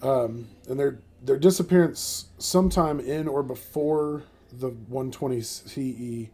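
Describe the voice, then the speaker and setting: Mellow-voiced joking male podcast host. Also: medium pitch. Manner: joking, filler-heavy, laughs often. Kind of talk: casual comedic podcast chat.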